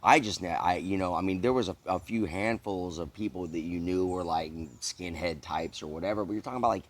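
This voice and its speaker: A middle-aged man from the American South interviewing a guest, speaking thoughtfully with many halting restarts. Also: low-pitched. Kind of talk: casual interview.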